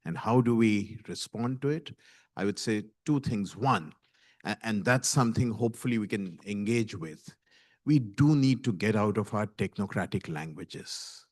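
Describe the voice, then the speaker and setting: A man speaking as a conference panelist in a deliberate, emphatic way. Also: fairly low pitch, expressive, darkish tone, no room sound, studio quality. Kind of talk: panel discussion remarks.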